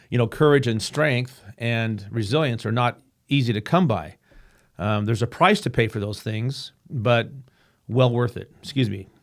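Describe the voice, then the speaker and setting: A man hosting his own podcast, talking earnestly and reflectively, with frequent restarts and a slightly rough voice. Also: medium pitch. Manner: earnest, halting, with many restarts and fillers. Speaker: podcast host. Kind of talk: reflective solo podcast monologue. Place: home room with a painting behind him.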